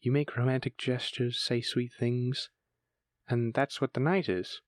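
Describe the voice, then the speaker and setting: A man's voice: mid-range voice, little pitch movement, a little slow, no echo, clean studio sound, natural voice. A man playing a bashful, bookish dragon lover in a romantic fantasy audio roleplay, stammering tenderly as he speaks to his sweetheart. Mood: adoring.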